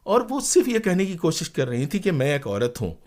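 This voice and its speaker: A man's voice: on the high side, very animated, fairly quick, darkish tone. A man speaking as an interview guest, telling stories conversationally in an emphatic, dramatic way.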